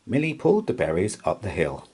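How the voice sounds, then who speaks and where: A man reads a children's story aloud as narrator. His voice is mellow and husky, a little on the low side. He tells it expressively, with lots of melodic movement. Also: wildly swooping pitch, a little fast.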